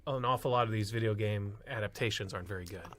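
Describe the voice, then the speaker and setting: A man's voice: on the low side, quick pace, fairly bright tone. A man giving enthusiastic, explanatory commentary in a casual panel chat about films. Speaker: podcast panelist.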